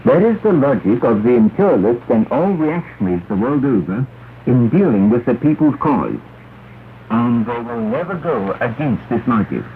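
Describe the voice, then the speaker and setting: A man's voice: medium pitch, big pitch swings, moderate pace. A man announcing on a 1960s shortwave propaganda broadcast, reading solemnly and with declamatory emphasis. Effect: shortwave radio. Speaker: radio announcer. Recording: narrow, noisy 1960s shortwave radio recording.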